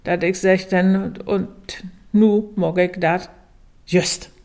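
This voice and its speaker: A woman's voice: on the low side, steady tone, slow, darkish tone. Northern German woman chatting in an interview. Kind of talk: conversational interview commentary.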